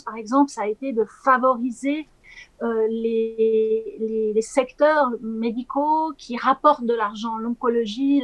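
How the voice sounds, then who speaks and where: A woman's voice: on the high side, dry recording, low-quality recording. A woman journalist and documentary director giving thoughtful, articulate answers in an interview. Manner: articulate, earnest, fluent.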